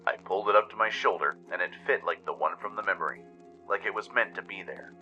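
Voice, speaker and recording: Casting: male narrator, lowish but very bright, punchy voice, dramatic scripted storytelling with music underneath. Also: fast talker, lo-fi sound. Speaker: narrator and radio show host.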